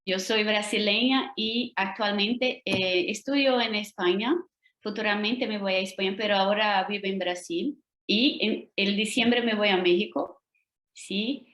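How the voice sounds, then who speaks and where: A woman's voice: medium pitch, a little slow, fairly bright tone. A woman from Brazil whose first language is Portuguese, giving an academic lecture over a video call with a Brazilian Portuguese accent and careful pacing, often checking in with tag questions. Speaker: guest lecturer. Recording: roomy video call audio.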